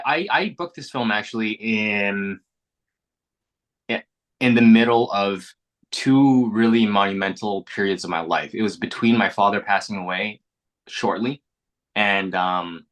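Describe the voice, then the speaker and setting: Young adult man, first language Mandarin, very low bright voice; earnest interviewee, reflective and halting. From Canada. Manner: reflective, earnest, frequent restarts and repeated words. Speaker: actor being interviewed. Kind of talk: casual interview chat.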